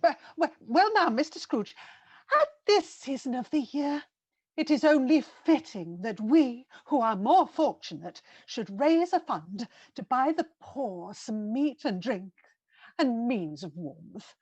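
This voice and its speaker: A woman's voice: a little high, animated, measured pace, a little mellow, breathy edge, no room sound, lo-fi sound. A woman playing a polite, slightly flustered charity fundraiser in a radio-style drama, courteous, earnest and hesitant. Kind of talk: vintage radio drama acting.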